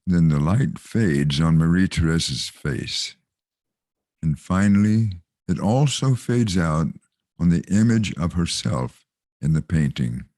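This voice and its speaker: Casting: male narrator, bass register, unhurried solemn reading of stage directions, dry recording. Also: animated, darkish tone, no room sound. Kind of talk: scripted narration.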